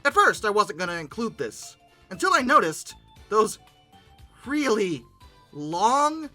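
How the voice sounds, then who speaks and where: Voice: mid-range voice, big pitch swings, slow, sharp tone, soft background music. A host giving comedic commentary on pictures in informal, slangy talk, with exasperated, dramatic emphasis. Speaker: host of a paleoart commentary show.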